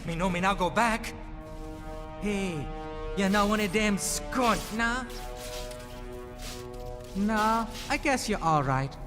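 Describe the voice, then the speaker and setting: High-pitched cocky Guyanese boy. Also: slow, long pauses. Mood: streetwise, defiant. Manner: colloquial Creole dialect, cocky. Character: streetwise Guyanese boy on the run, cheeky and defiant. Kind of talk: video game cutscene acting. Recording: long hall reverb, music behind.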